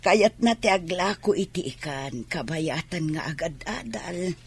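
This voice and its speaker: Raspy, creaky, slow village mother acted by a woman. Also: on the low side, lively intonation, exaggerated voice. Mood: worried. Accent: Ilocano. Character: weary, worried village mother, fisherman's wife. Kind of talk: Ilocano radio drama acting.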